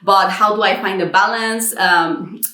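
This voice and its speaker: A woman's voice: medium pitch, unhurried. A thirty-year-old woman from Mexico telling her personal story in a chatty vlog, acting out her own thoughts as she goes. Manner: animated retelling with quoted inner dialogue. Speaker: YouTube content creator. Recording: roomy echo.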